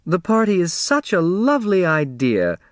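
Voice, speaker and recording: A man's voice: high voice, wildly swooping pitch, moderate pace, no echo, studio quality, voice pushed up. A man voicing a middle-aged social-climbing mother in a storybook, wheedling and honey-sweet with affected airs. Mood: gushing.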